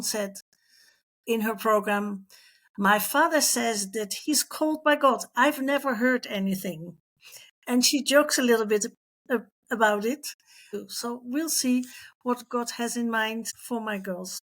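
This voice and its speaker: A woman's voice: a little high, unhurried, fairly bright tone. A middle-aged woman telling personal stories as an interview guest, acting out the dialogue as she goes. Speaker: interview guest, former missionary.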